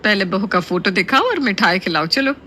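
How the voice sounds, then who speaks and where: A woman's voice: medium pitch, lively intonation, moderate pace, sharp tone, a little hiss or hum. A woman voicing a teasing, nosy neighbour in a cartoon story, boisterous in manner. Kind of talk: Hindi cartoon story voice acting.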